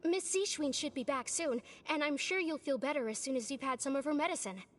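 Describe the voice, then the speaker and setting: A woman's voice: sits high, brisk, close to an everyday voice. An actress playing a courteous, apologetic young woman in a game. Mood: polite.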